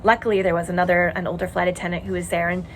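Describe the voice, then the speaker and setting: A Canadian woman in her forties chats about her crafts in a relaxed, rambling way, filling pauses with ums and uhs. Her voice sits on the lower side for a woman. A steady hum runs clearly behind her home recording. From Montreal, Quebec, Canada. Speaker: knitting podcast host. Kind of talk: casual chat. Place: home in a tiny house.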